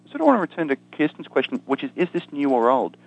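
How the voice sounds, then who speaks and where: A man's voice: fairly high pitch, big pitch swings, rapid-fire, highs cut off. An Australian man, an economist guest, explaining ideas in conversation with dry humor and frequent ums. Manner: dry self-deprecating humor, many ums and restarts.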